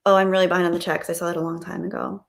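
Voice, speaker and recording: A woman's voice: fairly low pitch, level tone, rapid-fire, vocal fry. Chatty, appreciative woman hosting a livestream. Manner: gushing, appreciative. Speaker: livestream host. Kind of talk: casual chat, reading out viewer comments.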